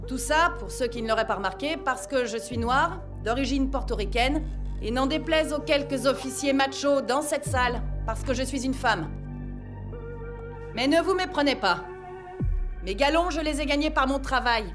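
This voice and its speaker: A woman's voice: high voice, normal speed, natural voice. A woman playing a stern, proud police captain who speaks with formal, sardonic authority in an audio drama. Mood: firm, commanding. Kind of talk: dramatic audio series acting. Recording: music bed behind the voice.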